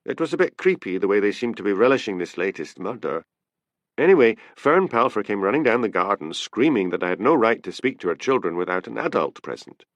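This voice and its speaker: A man's voice: on the low side, very animated, fairly quick, no echo, muffled recording. A man voicing a breezy, defiant young policewoman with a cheerful, offhand manner, in an audiobook story. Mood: gossipy.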